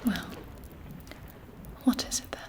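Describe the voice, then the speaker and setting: A woman voicing a haughty, seductive vampire queen in an ASMR roleplay, speaking softly with an aristocratic, condescending manner. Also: slow pace, lightly acted. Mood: intrigued, teasing. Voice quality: soft breathy whisper.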